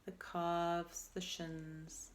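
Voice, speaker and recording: A woman leading a guided meditation as a teacher, speaking in a gentle, unhurried and soothing way with pauses between phrases. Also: fairly low pitch, level tone. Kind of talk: guided meditation, body scan.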